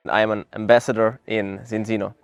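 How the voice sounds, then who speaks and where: A young man from Austria, giving a network marketing testimonial in an earnest, reflective way, pausing often with fillers and restarts. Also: on the low side, little pitch movement, dry recording, faint music behind. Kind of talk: promotional testimonial interview.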